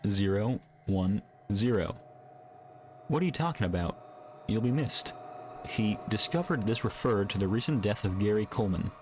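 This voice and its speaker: Male narrator for scripted creepypasta, fairly low register, deliberate measured read, soft music bed underneath. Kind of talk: scripted horror story narration. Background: background music.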